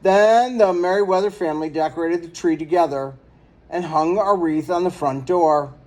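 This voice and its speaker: A man's voice: fairly high pitch, moderate pace, a little mellow. A man reading a children's picture book aloud as a storyteller.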